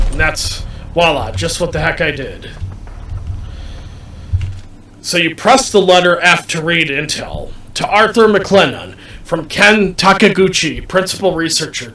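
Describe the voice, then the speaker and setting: A roomy, distant recording of a man commentating a video game. His voice is bright and forceful, and he explains each step deliberately, circling back over his points. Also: a little high. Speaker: gamer commentating a playthrough. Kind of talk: gameplay commentary, explaining controls to viewers. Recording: roomy, distant mic, faint background noise.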